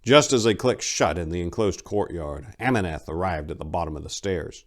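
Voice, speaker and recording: A man's voice: low voice, expressive, normal speed. A man narrating a story in a dramatic, scripted reading style.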